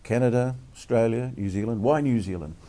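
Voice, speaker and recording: A man's voice: mid-range voice, a little fast, warm tone. A man hosting a show, asking upbeat leading questions of his guest. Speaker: radio show host. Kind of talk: casual interview chat.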